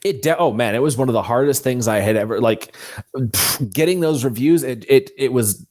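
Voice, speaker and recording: A man's voice: medium pitch, moderate pace, darkish tone. A man speaking as an interview guest, telling his own story casually and candidly, acting out quoted thoughts as he explains. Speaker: guest author.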